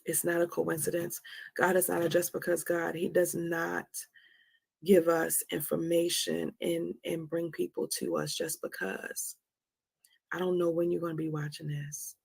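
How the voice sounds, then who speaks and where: A woman's voice: fairly low pitch, level tone, unhurried, creaky, a little mellow, husky. A woman in her forties giving a candid motivational testimony as a host, emphatically repeating phrases for effect. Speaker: host of a live talk on anxiety.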